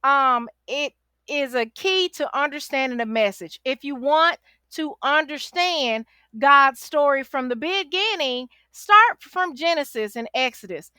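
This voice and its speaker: A woman with a forceful, high voice teaches like a chatty life coach. She urges the listener on with repeated phrases and a sassy, familiar tone. The sound is close and dry. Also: lively intonation, unhurried, no echo. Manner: exhorting, repeats phrases for emphasis; sassy, familiar, uses endearments like baby and honey. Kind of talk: casual, chatty Bible study teaching to camera.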